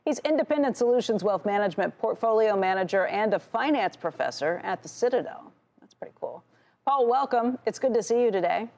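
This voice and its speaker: Creaky-voiced woman anchoring financial news. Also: medium pitch, expressive, brisk, darkish tone. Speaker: TV news anchor interviewing a guest. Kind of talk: broadcast financial news interview.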